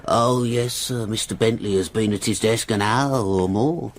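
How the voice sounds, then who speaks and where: An actor playing a deferential, dutiful law office clerk with a dry, understated manner, in a radio drama. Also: male voice, on the low side, moderate pace, slight background noise, close to an everyday voice.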